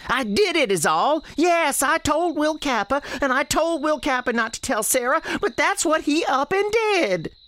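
A performer voicing a high-pitched, defiant Southern girl. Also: very animated, moderate pace, hardly any pauses, no room sound, studio quality. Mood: defensive, bitter. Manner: folksy rural speech, sharp and defensive. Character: angry, defiant young Southern girl, maybe imaginary.

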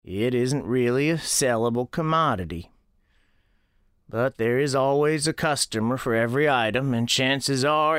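An elderly storekeeper, played by a male actor, tells his tale in a radio drama. His voice sits at a middle pitch, folksy and deliberate, with a gently musing temper. A light bed of cricket-like night noise lies behind him. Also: lightly acted. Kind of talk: first-person scripted narration, audio drama.

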